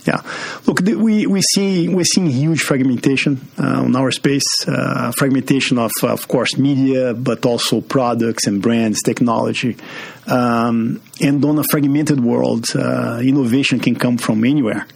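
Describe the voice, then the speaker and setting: A man's voice: medium pitch, fairly even intonation, a little slow, vocal fry, slightly rough. A man from Brazil with a Brazilian accent, a guest in a business podcast interview, speaking with many fillers and restarts. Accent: Brazilian Portuguese. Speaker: guest, marketing executive.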